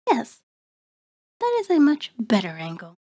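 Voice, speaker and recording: A woman playing a seductive, predatory vampire in an ASMR roleplay, soft and breathy with a coaxing, commanding manner, often slipping into a whisper. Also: a little high, wildly swooping pitch, full character voice. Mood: coaxing, teasing. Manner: slow, deliberate, coaxing, controlling.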